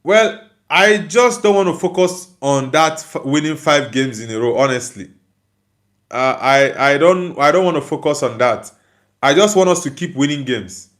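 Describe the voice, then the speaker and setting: A man with a Nigerian accent speaks in a slightly high-pitched voice. He hosts a football livestream in a casual, conversational style, reading out comments and answering them. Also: animated, moderate pace, fairly bright tone.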